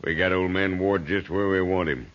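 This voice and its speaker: Man playing very deep, scheming outlaw boss. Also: steady tone, normal speed, lightly acted. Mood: gloating. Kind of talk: old-time radio western acting. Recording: muffled old radio recording.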